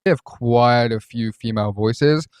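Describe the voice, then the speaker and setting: A man presents a software walkthrough with a mid-pitched voice. He talks informally and rambles a little as he explains. The recording is dry, with no room sound around him. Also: measured pace, clear, resonant tone, dry recording. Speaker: host reviewing voice changer software.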